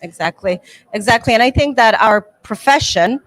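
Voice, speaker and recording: A woman's voice: on the high side, expressive, normal speed, no room sound, low-quality recording. A middle-aged woman from the Balkans, a Serbo-Croatian native speaker, answering conference interview questions earnestly and thoughtfully, with many fillers. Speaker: materials writer and incoming association president.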